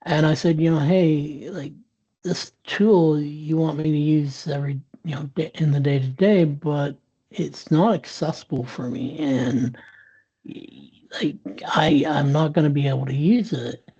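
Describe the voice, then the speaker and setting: Dark, husky man telling a story. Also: on the high side, steady tone, a little slow, muffled recording. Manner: frequent restarts and fillers, earnest. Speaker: interview guest, sales professional. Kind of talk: candid conversational storytelling. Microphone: video call.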